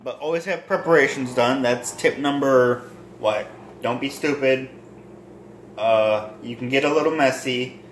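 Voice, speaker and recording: A man in his twenties casually demonstrating home cooking to camera in a halting, rambling, self-deprecating way. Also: medium pitch. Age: 20s. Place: small home kitchen and dining room. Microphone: handheld or propped phone near arm's length. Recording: roomy echo, kitchen noise with sizzling.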